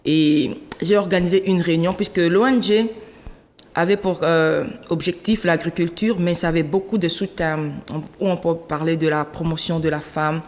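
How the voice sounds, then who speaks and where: A woman in her late thirties from the Democratic Republic of Congo, telling her story as an interview guest, animated and laughing often. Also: lowish voice, moderate pace. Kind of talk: conversational interview. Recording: long hall reverb.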